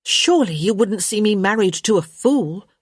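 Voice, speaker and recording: A woman's voice: on the high side, lively intonation, normal speed, dry recording, studio quality, voice pushed up. A woman voicing a witty young unmarried lady, arch, teasing and sarcastic, in a story reading.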